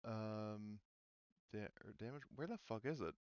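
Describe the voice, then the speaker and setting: Smooth-voiced man running a game, slightly dull recording. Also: on the low side, measured pace, a little mellow, dry recording. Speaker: game master. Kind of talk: casual explanation of tabletop game rules.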